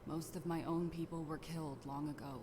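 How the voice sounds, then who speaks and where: An actress playing a guarded lone warrior in an animated fairy tale, terse, stern and reserved. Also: female voice, sits low, brisk, natural voice. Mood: sombre, reserved. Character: guarded lone warrior woman, weary protector of villagers. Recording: noisy ambience behind the voice.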